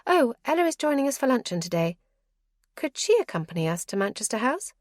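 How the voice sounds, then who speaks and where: A woman's voice: a little high, very animated, fairly quick, no room sound, studio quality, pitched above the performer's own voice. A woman voicing an earnest young noble wife who speaks in an eager, insistent way.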